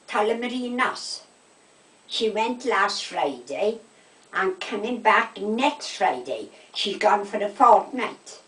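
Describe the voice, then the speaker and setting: Echoey, distant Welsh Valleys woman, chatting. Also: medium pitch. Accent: South Wales Valleys. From south Wales. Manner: animated anecdotes, quoting conversations, checking with "right?". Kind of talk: casual chat, gossipy storytelling. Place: indoors at home. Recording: echoey room, distant microphone.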